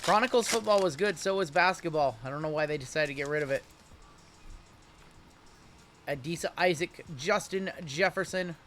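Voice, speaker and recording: A man's voice: fairly high pitch, moderate pace, long pauses, fairly bright tone, faint music behind. A man in his late thirties hosting a live card break, chatting casually with viewers while rattling off player names from each pack. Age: 30s.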